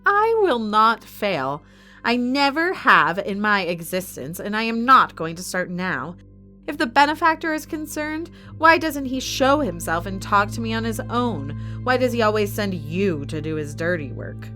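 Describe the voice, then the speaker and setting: A woman's voice: medium pitch, very animated, a little slow, pushed and bright. A woman playing a proud, defiant boss of an underground fighting arena in an audio drama, firm and challenging. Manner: firm, challenging, self-assured. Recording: music under the voice.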